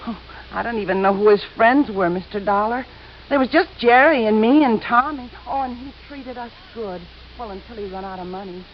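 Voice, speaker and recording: An actress playing a young working-class widow, a defensive gun-moll type, slangy and halting, in 1950s radio drama style. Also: female voice, fairly high pitch, slight background noise, close to an everyday voice. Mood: defensive, wistful. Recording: muffled old radio broadcast.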